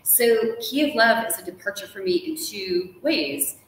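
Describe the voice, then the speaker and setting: A middle-aged American woman, an author being interviewed, chats casually and tells stories in an animated, playful way. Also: a little high, measured pace. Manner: animated storytelling, quoting people with playful asides. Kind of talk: lively casual conversation. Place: large hotel sitting room, live stream. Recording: echoey room from a distance, noisy.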